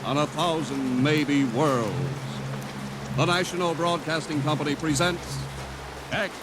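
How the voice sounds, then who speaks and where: A man's voice: on the high side, fairly even intonation, loud music behind the voice. Dramatic 1950s radio announcer man. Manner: slow, dramatic delivery. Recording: vintage radio recording under loud rain.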